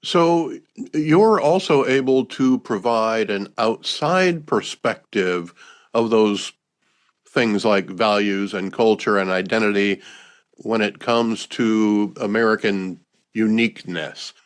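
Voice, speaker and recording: A man hosting a podcast, asking a guest measured, relaxed questions. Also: lowish voice. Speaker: podcast host. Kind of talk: interviewing a guest.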